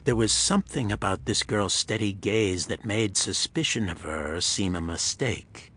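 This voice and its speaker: A man giving scripted narration of a pulp thriller audiobook in a husky voice. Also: fairly low pitch, unhurried, a bit bright, slight background noise.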